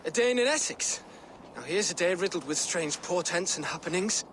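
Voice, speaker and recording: A man's voice: high voice, expressive, normal speed, fairly bright tone, a little hiss or hum. A young man playing an anxious, earnest nineteen-year-old peasant in a period game, with naive, quaint phrasing. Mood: wary, indignant. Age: young adult. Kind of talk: dramatic period game acting.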